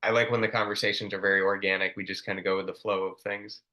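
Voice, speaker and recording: A young man hosting a podcast, casual and relaxed, with slangy words and many ums. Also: lowish voice, fast talker. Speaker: podcast host. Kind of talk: casual interview chat.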